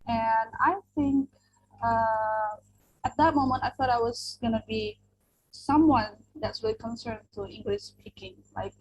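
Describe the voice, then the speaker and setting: A woman's voice: a little high, a little slow, poor audio. Earnest Indonesian woman teacher, rambling. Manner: earnest, rambling with many fillers and restarts. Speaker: English teacher. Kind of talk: spoken interview answers.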